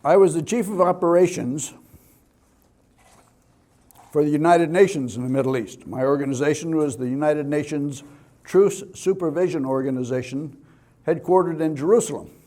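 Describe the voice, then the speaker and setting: An older man giving a security talk as an expert speaker, in deliberate, measured phrases with pauses. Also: on the high side, mellow. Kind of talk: public training talk with personal anecdotes.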